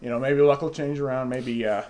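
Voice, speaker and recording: Man, co-host, deadpan banter, hesitant, middle pitch. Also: fairly quick, background noise. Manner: hesitant, many fillers and restarts. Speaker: radio show co-host.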